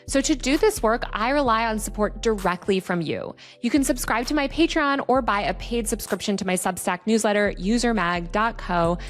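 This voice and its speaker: A woman with a medium-pitched voice presents commentary straight to her viewers. She sounds like a reporter explaining her beat, articulate and earnest. Her phrasing stays lightly conversational throughout. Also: animated, normal speed, hardly any pauses, faint music behind. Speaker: tech reporter and video host. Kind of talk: video essay commentary to viewers.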